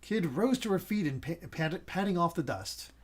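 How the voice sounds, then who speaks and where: A man's voice: fairly high pitch, expressive, moderate pace, slightly rough. A man reading a visual novel game's story aloud as a player, in a dramatic narrating style. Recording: music playing behind the voice. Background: game music.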